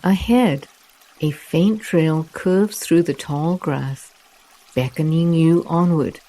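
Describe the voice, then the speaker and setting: Slow, mellow meditation guide. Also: mid-range voice, low-quality recording. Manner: soft, soothing, unhurried delivery with long pauses.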